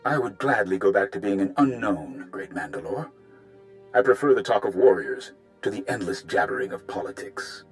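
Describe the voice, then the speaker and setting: A man playing a gruff, loyal warrior and clan enforcer in a video game, speaking in a blunt, formal, measured way. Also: fairly low pitch, sing-song, faint music behind, low-quality recording. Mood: stern, proud.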